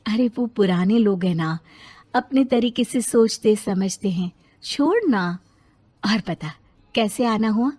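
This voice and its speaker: A woman's voice: medium pitch, expressive, slow, breathy edge. A woman voicing a meek, patient young wife in a story, polite, sweet and conciliatory. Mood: calm, placating. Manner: soft-spoken, polite, conciliatory.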